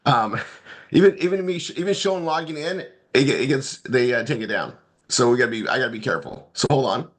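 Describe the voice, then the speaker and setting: A man who is hoarse today, running a live online marketing training with loose, repetitive, upbeat talk. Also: mid-range voice, animated, brisk.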